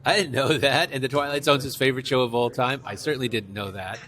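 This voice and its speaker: A mature man speaks as an author guest in an interview, with a mid-pitched voice. He tells stories conversationally, quoting exchanges and repeating key phrases for emphasis. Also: fast, fairly bright tone. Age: middle-aged or older. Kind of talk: conversational interview, anecdotal storytelling.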